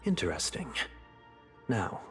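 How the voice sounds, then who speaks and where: An actor voicing a composed, fastidious, menacing male head of a powerful family in a video game, speaking in a measured, formal, commanding way. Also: on the low side, very animated. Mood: interrogating. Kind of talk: dramatic video game voice acting. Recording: long reverb, game music underneath.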